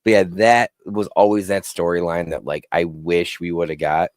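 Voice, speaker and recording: A man's voice: low voice, flat delivery, moderate pace, no room sound, clean studio sound. A man hosting a casual wrestling podcast, rambling with lots of fillers and eager asides. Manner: rambling, filler-heavy, enthusiastic. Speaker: podcast host. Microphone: studio mic.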